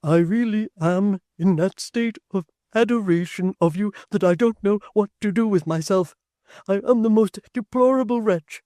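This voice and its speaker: A man voicing a bashful, flustered young gentleman who stammers, in a storybook reading. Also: sits high, normal speed, dry recording, studio quality, pitched above the performer's own voice, natural voice.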